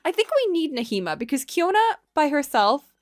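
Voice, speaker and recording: A woman's voice: high-pitched, very animated, moderate pace, dry recording, studio quality. A woman livestreamer reading a visual novel aloud, moving between story narration and casual commentary.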